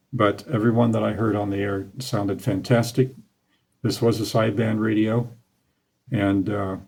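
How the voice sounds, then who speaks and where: Low-voiced man explaining old radios. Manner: relaxed, knowledgeable, with frequent "uh" fillers. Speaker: radio hobby host. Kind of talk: casual talk over slides.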